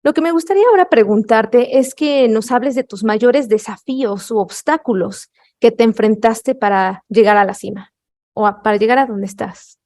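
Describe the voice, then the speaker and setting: A woman interviewer who asks questions and makes affirming comments in a measured way. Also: fairly high pitch, a little mellow.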